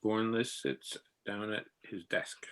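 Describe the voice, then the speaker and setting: A man's voice: lowish voice, expressive, slow, a bit bright. A man narrating a radio serial in a dramatic, scripted announcer style.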